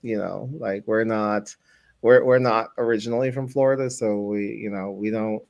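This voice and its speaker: A man hosts a casual live-stream chat, rambling a bit and laughing easily. His voice is fairly low and sounds close and dry, with no room around it. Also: steady tone, moderate pace, vocal fry, no echo. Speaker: host.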